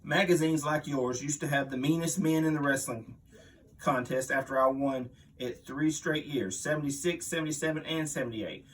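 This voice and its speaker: A man's voice: a little high, normal speed, far from the mic, natural voice, rough recording. A man playing a boastful, mean veteran wrestling villain, blustering and aggrieved as if giving an interview. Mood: menacing, boastful. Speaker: reader voicing an interviewed wrestler. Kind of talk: dramatic magazine interview reading, wrestling promo.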